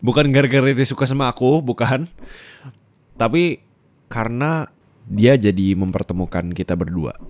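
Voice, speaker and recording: A man's voice: medium pitch, a little slow, long pauses, no room sound, dull audio, natural voice. A young man playing an affectionate, teasing boyfriend in an ASMR roleplay, laughing as he talks. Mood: playful.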